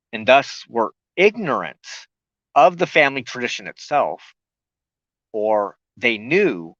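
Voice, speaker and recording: A man giving an informal spoken teaching with personal reminiscence, halting, with frequent pauses and throat clearing. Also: lowish voice, fairly bright tone. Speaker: host of a spiritual broadcast. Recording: muffled, echoey room recording.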